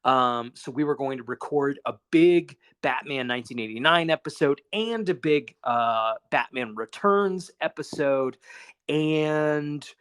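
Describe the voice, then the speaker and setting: A man's voice: mid-range voice, animated, unhurried, a bit bright, smooth. A man co-hosting a casual podcast over a phone call, talking in a rambling, casually profane way. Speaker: podcast co-host.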